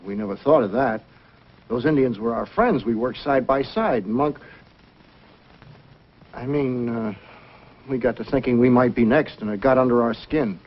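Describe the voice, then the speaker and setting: A man's voice: mid-range voice, moderate pace, pauses often, lo-fi sound, natural voice. A man acting an uneasy, plain-spoken frontier logger in an old-time radio adventure, sounding defensive and hesitant. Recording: old band-limited radio recording.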